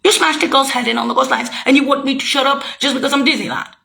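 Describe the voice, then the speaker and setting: A woman's voice: sits high, quick pace, vocal fry, bright, forceful tone. A woman speaking a rambling, heated monologue, swearing and accusing as she jumps from thought to thought. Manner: loose, jumping from thought to thought.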